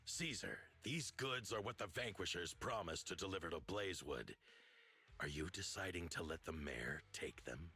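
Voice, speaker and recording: Imposing game overlord, very low forceful voice, stern, dignified, commanding, formal phrasing. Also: male voice, lively intonation, normal speed, husky, exaggerated voice. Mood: stern, condescending. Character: imposing male overlord leader of a motor league, stern, dignified.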